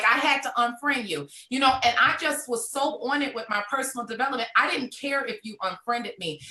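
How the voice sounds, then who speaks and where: This is a woman around thirty telling her entrepreneur story with animated, motivational drive. Her voice sits a little high and rings bright and forceful. The sound carries a roomy echo. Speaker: network marketing entrepreneur. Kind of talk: motivational live conversation.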